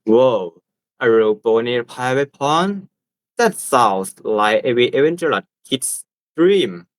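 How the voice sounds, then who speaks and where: A man's voice: mid-range voice, expressive, takes it slowly, dry recording. Nineteen-year-old Thai-accented man, dry sound. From Thailand. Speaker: student presenter. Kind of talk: scripted class presentation.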